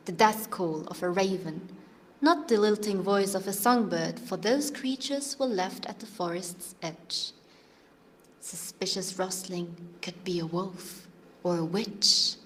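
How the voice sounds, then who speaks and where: A woman's voice: mid-range voice, measured pace, fairly bright tone, slight background noise, lightly acted. Woman as an earnest fairytale storyteller, faint ambience. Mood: ominous. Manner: dramatic, evocative. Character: earnest, atmospheric female storyteller, easily irritated by interruptions. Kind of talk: scripted fairytale narration.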